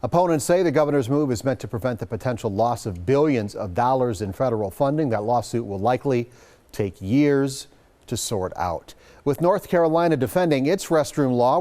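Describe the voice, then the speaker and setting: A man's voice: medium pitch, warm tone. A man with an American accent reading scripted local news as a TV anchor in a studio.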